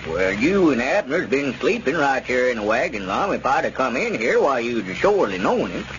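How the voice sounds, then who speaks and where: Shifty old rural Southern squire: folksy, hemming, radio comedy, dull recording with clear noise. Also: male voice, medium pitch, very animated, normal speed, fairly bright tone. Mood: probing, insinuating. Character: shifty old small-town squire, wheedling and suspicious. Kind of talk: 1930s-40s radio comedy acting. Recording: muffled, noisy old radio broadcast.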